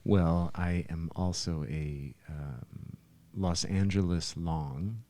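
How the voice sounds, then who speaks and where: A middle-aged man with a very low, soft-edged voice chats as a podcast host. He rambles and doubles back on his words as he explains. The sound is dry. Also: fairly even intonation, slow pace, no echo. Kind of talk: casual podcast chat.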